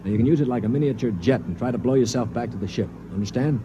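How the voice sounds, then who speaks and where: A man's voice: medium pitch, rapid-fire, lo-fi sound, lightly acted. An actor playing a cynical, glib space traveler who sneers as he talks, in 1950s radio drama style. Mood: urgent. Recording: noisy vintage radio broadcast recording.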